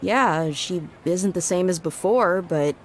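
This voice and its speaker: A woman playing a calm, measured desert guardian in video game voice acting. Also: fairly low pitch, a little hiss or hum.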